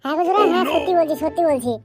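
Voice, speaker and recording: Flirtatious married lady for a comedy cartoon, woman performer: adoring, coy, high-pitched, light music beneath. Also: rapid-fire, a little mellow, close to an everyday voice. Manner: coy, affectionate. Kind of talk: amateur comedy cartoon dubbing.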